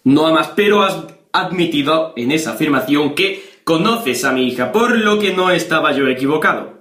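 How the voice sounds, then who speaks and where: A man's voice: fairly high pitch, lively intonation, normal speed, fairly bright tone. Young man acting stern Spanish police father, roomy sound. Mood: preachy, insistent. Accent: Spain. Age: young adult. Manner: insistent, accusatory, repeating the listener's name. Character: stern, preachy, threatening policeman father of a teenage girl. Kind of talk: prank phone call improvisation. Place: small bedroom, indoors. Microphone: webcam or camera at desk distance. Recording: roomy, echoey bedroom.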